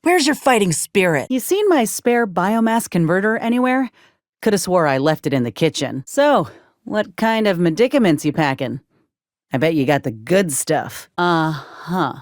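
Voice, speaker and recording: A woman's voice: on the low side, very animated, normal speed, dry recording. A woman playing a wry, plainspoken former combat medic in a video game, loyal to her company, with dry, sardonic, folksy phrasing. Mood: teasing.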